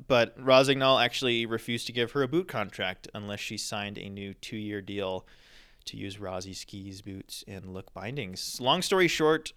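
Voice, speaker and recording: Guy on a sports podcast, bright crisp voice, ranting with lots of ums. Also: mid-range voice. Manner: opinionated ranting, frequent fillers. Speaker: podcast co-host. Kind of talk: casual podcast commentary on ski racing.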